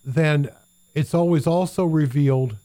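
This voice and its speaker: A man's voice: fairly high pitch, unhurried, dark tone. Folksy pastor man, plain-spoken. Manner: folksy, conversational preaching.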